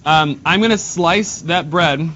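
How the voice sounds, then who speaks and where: A man's voice: takes it slowly, sharp tone. Nasal man teaching math to a classroom. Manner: casual, talks to students while drawing on the board. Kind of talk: classroom lesson. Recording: dull lo-fi sound, faint rumble.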